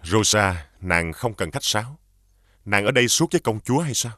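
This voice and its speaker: An actor playing a grieving widowed king who speaks gently to his children and their aunt. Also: lowish voice, animated, moderate pace, dry recording, clean studio sound. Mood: gentle. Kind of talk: dubbed cartoon acting.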